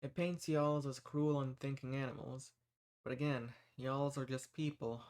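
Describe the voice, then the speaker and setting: A man's voice: medium pitch, fairly even intonation, normal speed, a little mellow, close to an everyday voice. A man playing a kind, folksy human rebel who earnestly reassures and shelters monsters in a scripted audio roleplay. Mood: earnest, indignant. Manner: folksy, reassuring, earnest, uses y'all and ain't. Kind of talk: scripted audio roleplay, speaking softly to the listener.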